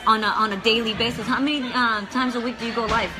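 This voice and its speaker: Upbeat high-voiced woman hosting a livestream. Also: steady tone, quick pace. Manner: upbeat, encouraging, interjects often. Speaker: livestream host. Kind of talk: casual livestream chat. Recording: background music and crowd noise.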